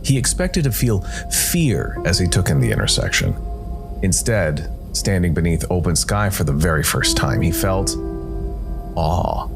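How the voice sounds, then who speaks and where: A man with a low, deep voice narrates a scripted tale as a podcast host. His delivery is measured and deliberate, shaped like careful storytelling. Faint music plays underneath him. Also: gravelly.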